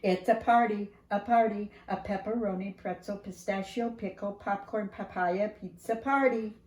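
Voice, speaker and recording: An older woman reading a picture book aloud to young children in an animated, sing-song way, speaking right to them. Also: medium pitch, normal speed, reverberant, rough recording. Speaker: preschool staff storyteller reading to children.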